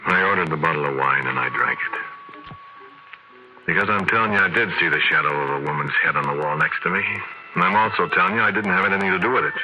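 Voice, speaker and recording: A man's voice: low voice, fast, natural voice, rough recording. An actor playing a shaken, haunted man confiding his eerie story conversationally in 1940s radio drama style. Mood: insistent, defensive. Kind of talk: 1940s radio drama first-person narration. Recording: old radio drama with music behind.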